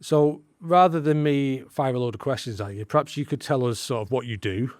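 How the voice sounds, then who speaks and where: A man's voice: medium pitch, fairly quick, a little mellow, dry recording, studio quality. A man hosting a podcast, friendly and chatty with his guest. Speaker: podcast host. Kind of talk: interview hosting.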